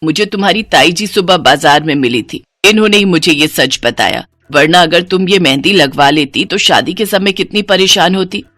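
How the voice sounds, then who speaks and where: A woman's voice: fairly low pitch, lively intonation, normal speed, few pauses, a bit bright, no room sound, studio quality. A woman voicing an older mother-in-law, firm but affectionate and instructing, in a cartoon story.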